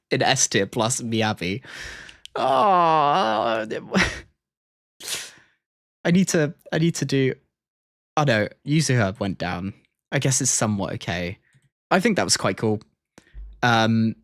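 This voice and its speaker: A man's voice: medium pitch, no room sound, clean studio sound. A man giving casual livestream reaction commentary, thinking aloud in a hesitant way. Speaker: streamer.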